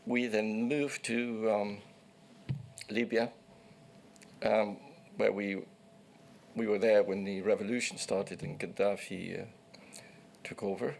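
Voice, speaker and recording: Gravelly older man giving testimony. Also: lowish voice, level tone, darkish tone, a little hiss or hum. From Egypt. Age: 60s. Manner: measured, recounting at length with small restarts. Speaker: witness. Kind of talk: formal testimony.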